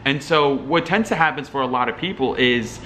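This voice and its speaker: A man's voice: mid-range voice, fairly quick, bright, forceful tone, slight background noise. A young man from Algeria, an entrepreneur vlogger, talking casually and rambling with many fillers and restarts. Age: young adult. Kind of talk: casual talking-head commentary.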